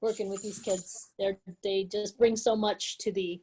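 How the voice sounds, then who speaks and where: A woman hosting an event on a video call, chatting casually and a little haltingly. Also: mid-range voice, level tone, a little mellow. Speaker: event host and organizer working with children. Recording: noisy video-call audio with clicks.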